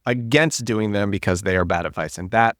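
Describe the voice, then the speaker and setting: A man hosting a solo podcast while getting over a cold, talking low and smooth, animated, often repeating and restarting words. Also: fairly quick, no echo, clean studio sound. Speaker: podcast host.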